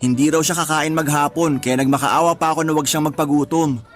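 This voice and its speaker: A man acts out a fed-up young husband in a radio drama. His voice sits a bit high as he gripes in emphatic street-level speech about his pregnant partner. Faint music plays underneath. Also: fairly even intonation, fairly quick, natural voice. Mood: weary grumbling. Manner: complaining, colloquial, emphatic. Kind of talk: Tagalog radio drama acting.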